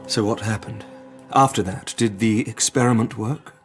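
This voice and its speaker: An actor playing a measured, wary gentleman doctor in a period audio drama, with a gravelly, husky voice. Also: fairly low pitch, over loud music, close to an everyday voice. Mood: probing.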